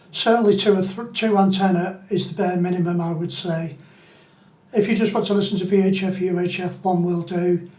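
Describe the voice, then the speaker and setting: A man's voice: sits high, a little mellow, reverberant. A middle-aged man, giving an informal explanatory tutorial as a hobbyist. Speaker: amateur radio hobbyist presenting a tutorial.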